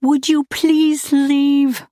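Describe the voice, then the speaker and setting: A fiery young lady acted by a woman reader pleads and argues with heated emphasis. Her pitch climbs and swings widely, while the pace stays measured, in a dry storybook recording. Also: animated, darkish tone, no echo. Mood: desperate pleading. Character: fierce, passionate, stubborn young lady in love. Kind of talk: audiobook character dialogue.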